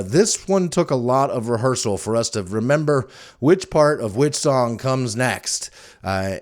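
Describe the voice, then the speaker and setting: A man's voice: mid-range voice, darkish tone. A middle-aged man hosting a solo podcast in a casual, chatty, conversational storytelling manner. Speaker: podcast host.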